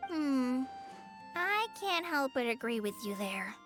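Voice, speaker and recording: A timid young girl from an anime game. The voice is extremely high and small, hesitant and apologetic, with music clearly behind it. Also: sing-song, slow, heavily acted. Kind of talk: anime video game voice acting.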